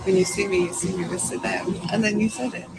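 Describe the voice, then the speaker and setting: A woman making soft remarks from off to the side of the microphone. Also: mid-range voice, steady tone, brisk, creaky, background noise.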